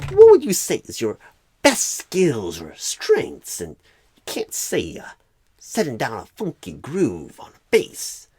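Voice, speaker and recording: A man voicing a clueless, rambling, eccentric job recruiter in an ASMR roleplay, halting oddly mid-phrase in a breathy, husky voice that often drops to a whisper. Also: mid-range voice, a little mellow, no echo, full character voice.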